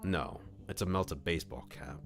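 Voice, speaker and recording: A man with a low voice reads a visual novel aloud as a streamer. He moves between expressive storytelling and casual live commentary. Music plays softly behind him. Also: moderate pace, creaky. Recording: music under the voice. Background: background music.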